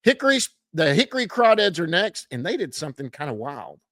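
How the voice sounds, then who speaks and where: A man's voice: a little high, big pitch swings, fairly quick, fairly bright tone, no echo, clean studio sound. A man hosting a podcast, recapping minor league baseball in a casual, folksy way, full of fillers and stumbles over players' names. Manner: self-deprecating, folksy.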